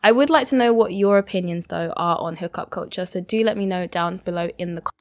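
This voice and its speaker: A woman giving her personal opinion to her viewers in a measured, earnest way. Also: fairly low pitch, a little mellow, no room sound, studio quality. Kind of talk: opinion vlog.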